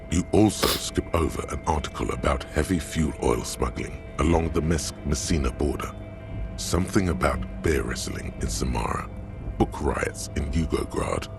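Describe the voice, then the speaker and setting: An actor playing the dry, erudite inner voice of a detective's mind in a video game, measured and drily ironic, with a gravelly tone. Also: male voice, exaggerated voice. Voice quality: very deep, gravelly. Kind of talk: scripted narration of a video game. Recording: music behind. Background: game music.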